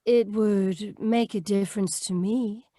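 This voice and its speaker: A woman's voice: medium pitch, fairly even intonation, slow, dark tone, no room sound, clean studio sound. A woman playing a selfish, scheming cafe singer with expensive tastes, world-weary, in old-time radio drama style. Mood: coy, dissatisfied.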